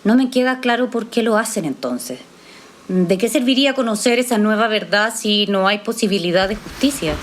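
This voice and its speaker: An actress playing a skeptical, resolute woman doctor in an audio drama, speaking in a measured, probing way. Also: medium pitch, expressive, natural voice.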